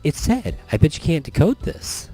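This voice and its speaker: A man voicing a sweet, eager, nervous young woman from a visual novel, with a strained, hoarse, girlish lilt. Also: on the high side, very animated, moderate pace, warm tone, faint music behind, voice pushed up. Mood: playful.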